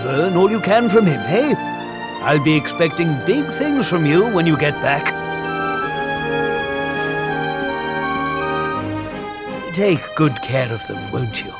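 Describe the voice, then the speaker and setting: A man's voice: a little high, sing-song, normal speed, loud music behind the voice. A man playing a portly, fatherly middle-aged circus ringmaster in a video game. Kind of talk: video game cutscene acting.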